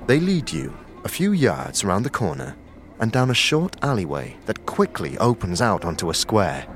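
A man giving measured, scripted narration for a fantasy audio drama. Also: fairly low pitch, huge pitch swings.

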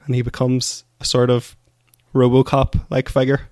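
A man's voice: medium pitch, completely flat delivery, moderate pace, darkish tone, slight background noise. A man co-hosting a podcast, chatting and bantering casually with the others. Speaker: podcast co-host.

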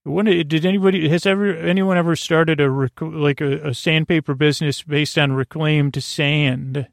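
A man hosting a bedtime podcast in a creaky voice, meandering soothingly through halting false starts and tangents. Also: on the high side, fairly even intonation, no room sound, studio quality. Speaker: host of a bedtime sleep podcast. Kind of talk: rambling, soothing bedtime monologue.

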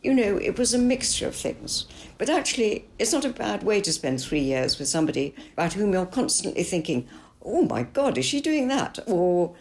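An older woman author answering interview questions in a measured, thoughtful and frank way. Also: medium pitch, very animated, darkish tone. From Italy. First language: English. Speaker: author and biographer.

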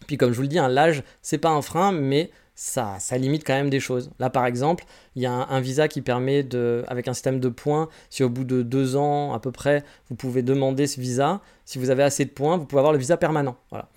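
Forties, male, from France; solo podcast host, quick and chatty, frank rambling advice. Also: medium pitch, steady tone, darkish tone. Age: 40s. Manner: candid, rambling advice with frequent restarts. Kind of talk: casual solo podcast answering listener questions.

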